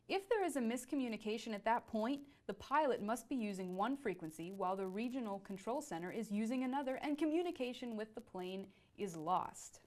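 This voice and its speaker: A woman presenting a scripted educational explainer. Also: on the high side.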